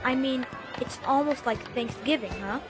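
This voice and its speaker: Woman doing a high voiced earnest kid, boy full of wonder, radio play, music behind. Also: moderate pace, close to an everyday voice. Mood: earnest, reflective. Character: wide-eyed, thoughtful Midwestern schoolboy on adventure. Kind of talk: radio drama acting.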